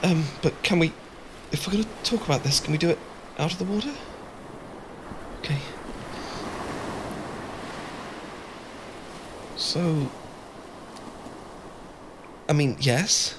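A man's voice: fairly high pitch, expressive, measured pace, long pauses. A man with a British accent playing a shy, adorkable, flustered young man in love, stammering and hesitant in an ASMR boyfriend roleplay. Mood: flustered, panicky, then bashful confession. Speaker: audio roleplay performer. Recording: clear background ambience noise.

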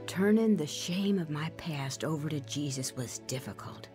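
An actress playing an earnest, deliberate woman who testifies to overcoming shame through faith in an audio drama. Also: low-pitched, animated, over loud music. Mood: earnest, relieved. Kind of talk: dramatized first-person testimony.

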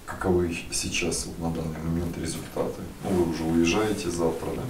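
Distant, roomy deep-voiced male interviewer. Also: little pitch movement, brisk, background noise. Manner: prompting, leading questions. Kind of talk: interview questions.

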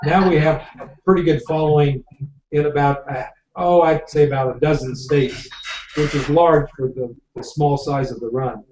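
A man's voice: on the high side, steady tone, moderate pace, a little mellow. An older man who owns a winery, chatting at length with many fillers while hosting a live tasting over a video call from a home office. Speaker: winery owner. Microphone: video call webcam. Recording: dull, echoey webcam audio from far off.

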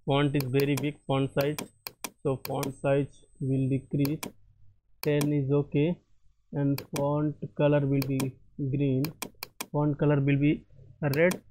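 A man walking through a software tutorial, halting and often repeating or correcting himself. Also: mid-range voice, slight background noise, rough recording. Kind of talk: screen-recorded software tutorial.

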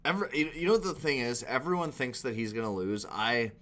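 A man's voice: medium pitch, expressive, fast, a bit bright. A young man with a Canadian accent giving casual, exasperated, sweary commentary as a reaction video host. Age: young adult. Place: small indoor room at a computer.

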